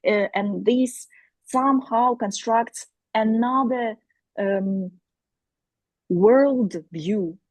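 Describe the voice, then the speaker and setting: A woman whose first language is Crimean Tatar, speaking up from the audience at a discussion, hesitant and pausing often with fillers as she searches for words. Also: fairly high pitch, darkish tone, no echo, low-quality recording. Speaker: audience member asking a question. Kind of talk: spontaneous discussion remarks.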